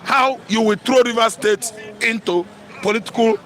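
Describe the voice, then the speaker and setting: A high-pitched man with a Nigerian Igbo accent holds forth as a political commentator. He is emphatic and combative, repeats his points for force and drops into Pidgin. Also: normal speed, fairly bright tone. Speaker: talk show commentator. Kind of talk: opinionated live political commentary.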